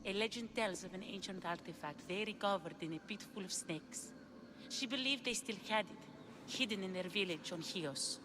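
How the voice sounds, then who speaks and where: A woman's voice: mid-range voice, normal speed, noisy background, close to an everyday voice. An actress playing a lovesick, desperate woman of ancient Greece in a video game, with an earnest, pleading delivery. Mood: earnest, explaining. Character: lovesick, desperate ancient Greek woman seeking her lover. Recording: music behind the dialogue.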